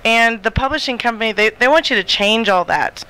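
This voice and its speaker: A woman's voice: on the high side, brisk, bright, forceful tone, clear, resonant tone, rough recording. A woman chatting casually on a radio show as a guest author.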